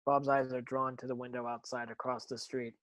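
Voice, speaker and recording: A man narrates stage directions from a script in a medium-pitched voice. He reads as an amateur, sometimes stumbling and going back over a word. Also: little pitch movement, a little fast, fairly bright tone. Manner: stumbling over words, rereading.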